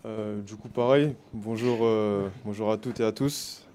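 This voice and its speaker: A man's voice: fairly low pitch, fairly even intonation, measured pace, mellow, slight background noise. A man in his twenties from the Paris region introducing himself as a basketball player, with many fillers. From Paris region, France. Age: 20s. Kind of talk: self-introduction.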